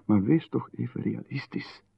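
A menacing official-like visitor, condescending, mid-pitched, thin phone-like radio sound. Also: male voice, normal speed, vocal fry, slightly rough. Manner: calmly condescending, turning sharply indignant. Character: smooth menacing male visitor, patronizing official. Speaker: visitor who calls himself Marchon. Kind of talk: 1990s radio play acting. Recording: narrow phone-like radio band.

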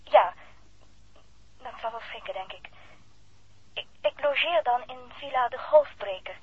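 A woman's voice: fairly high pitch, animated, unhurried, breathy edge, exaggerated voice. An actress playing a reserved, hesitant woman on the telephone in a 1960s radio drama. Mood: hesitant, yielding. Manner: quiet, hesitant. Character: reserved, hesitant, guarded young lady on the telephone. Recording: muffled old radio telephone scene.

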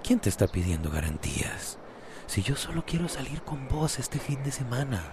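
An actor with a Costa Rican accent playing a persuasive, insistent suitor in a radio soap opera. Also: male voice, mid-range voice, sing-song, moderate pace, background noise. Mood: coaxing, insistent. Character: Mauricio, persuasive, insistent adult male suitor.

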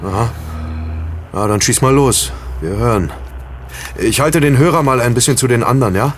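An actor playing a friendly young amateur sleuth in an audio drama, casual and soothing with slight hesitations. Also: male voice, mid-range voice, moderate pace, lightly acted. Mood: calm, friendly. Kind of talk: German audio drama acting.

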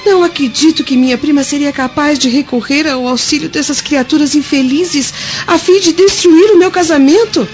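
An actress playing a dignified, trusting young Roman wife in a radio drama, speaking formally and earnestly. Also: female voice, high-pitched, moderate pace, darkish tone, natural voice. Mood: troubled disbelief. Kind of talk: dramatic radio play acting. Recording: dull lo-fi audio with music behind.

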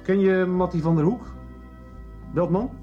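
An actor playing a composed, businesslike police detective in a radio soap, speaking with measured authority. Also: male voice, sits high, mellow, muffled recording, faint music behind. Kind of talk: 1990s radio soap acting.

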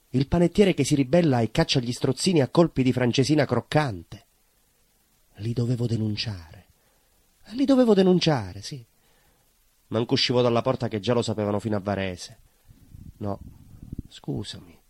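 An actor playing an anguished, naive baker with a southern Italian accent, confessing his shame in an intense, halting theatrical monologue full of obsessive repetition. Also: fairly low pitch, darkish tone, no echo. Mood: bitter, defensive, then guilty, resigned. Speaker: stage actor.

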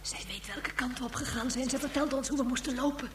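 A woman's voice: high voice, quick pace, echoey room, heavy background noise, natural voice. An actress playing an anxious woman on the run in a radio drama. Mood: worried, urgent. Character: anxious woman fugitive trying to escape.

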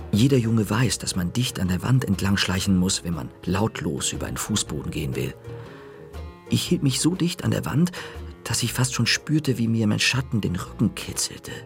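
A man narrating a children's story in the first person, calm, dropping to a whisper in quiet moments. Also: fairly low pitch, gravelly.

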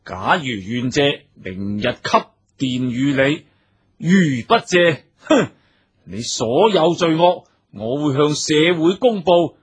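Husky, slow-talking anxious rich man. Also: on the high side, big pitch swings, exaggerated voice. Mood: indignant. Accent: Cantonese. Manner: agitated, stammering, sighing. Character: anxious wealthy philanthropist husband, easily flustered and indignant. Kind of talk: Cantonese radio drama acting.

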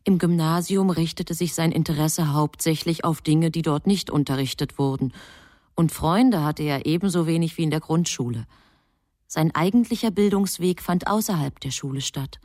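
Low-voiced woman narrating, dry studio sound. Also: normal speed, a little mellow, no room sound. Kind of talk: scripted literary narration for radio drama.

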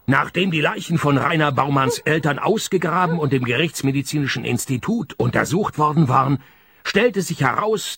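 Gravelly, deadpan private detective. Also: male voice, medium pitch, no echo, heavily acted. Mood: wry, matter-of-fact. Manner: deadpan, laconic. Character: dry, sardonic, world-weary male private detective. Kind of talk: radio play acting with first-person narration.

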